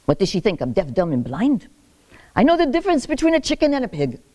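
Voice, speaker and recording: A woman's voice: on the high side, huge pitch swings, quick pace. Woman playing a wry old grandmother. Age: older. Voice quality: sharp-tongued, scolding, chuckling asides. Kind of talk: theatrical monologue.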